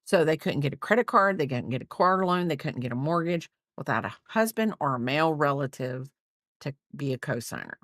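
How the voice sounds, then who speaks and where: Brief: woman in her fifties, rambling livestream host, lively pitch, dry close sound. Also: a little fast, dry recording. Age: 50s. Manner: rambling, chatty, reads out viewer comments. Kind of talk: casual livestream chat.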